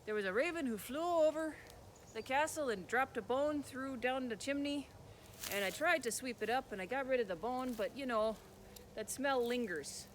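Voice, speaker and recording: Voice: high voice, moderate pace, a bit bright, a little hiss or hum, low-quality recording. A storyteller voicing a nervous, eager young boy who serves a troll, in a raised, fawning voice.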